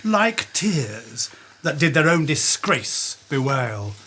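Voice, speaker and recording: Man as stately fairy king for radio Shakespeare, high-pitched and deliberate, slight noise on the recording. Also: big pitch swings, bright, forceful tone, heavily acted. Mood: gloating, pitying. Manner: stately, deliberate verse delivery. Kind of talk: Shakespearean radio play acting.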